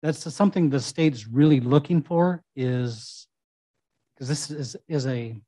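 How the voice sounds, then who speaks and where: A man's voice: medium pitch, measured pace, dark tone, no echo, clean studio sound. A man presenting to a city council as a waste management consultant, speaking conversationally with restarts and fillers.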